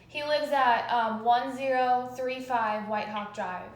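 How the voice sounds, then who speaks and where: A woman's voice: a little high, steady tone, takes it slowly. A woman calling in a complaint over the phone, explaining insistently and in detail. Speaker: caller making a complaint to police. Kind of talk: phone call, recounting a complaint. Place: recorded phone call, echoey. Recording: echoey phone call recording.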